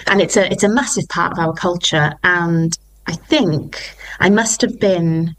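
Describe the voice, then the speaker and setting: A woman's voice: on the low side, measured pace, a bit bright, rough recording. A young woman, a musical theatre singer, talking enthusiastically in an interview and often restarting her sentences. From Wales. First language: Welsh.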